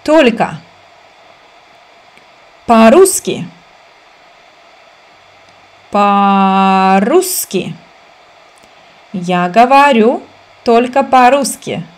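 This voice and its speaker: A woman in her thirties teaching a language, reading short phrases aloud and repeating them slowly, sometimes syllable by syllable, recorded indoors on a webcam. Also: on the high side, lively intonation. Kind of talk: slow reading drill for learners.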